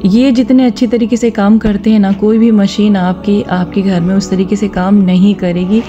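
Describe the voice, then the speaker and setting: A woman's voice: medium pitch, flat delivery, moderate pace, mellow, highs cut off, faint music behind. A woman narrating a vlog, giving instructive advice directly to her viewers. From India. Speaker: vlogger. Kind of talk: vlog voice-over with gentle religious advice.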